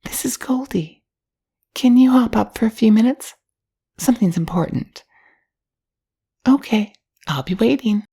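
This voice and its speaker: A woman's voice: fairly high pitch, lively intonation, a little slow, long pauses, mellow, husky, pitched above the performer's own voice, heavily acted. A woman voicing a flippant, boozy young dancer from a 1930s story, with slangy, teasing talk. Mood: coaxing, breezy.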